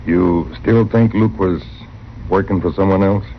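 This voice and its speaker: An actor playing an earnest frontier town doctor in a radio western, speaking persuasively and paternally with an American accent. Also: male voice, low-pitched, unhurried. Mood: reassuring. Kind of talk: old-time radio western acting. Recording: muffled, noisy old radio recording.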